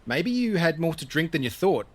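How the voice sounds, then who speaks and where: An actor playing an evasive, world-weary TV industry colleague in a naturalistic audio drama, casually placating and dismissive. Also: male voice, on the high side, very animated, normal speed.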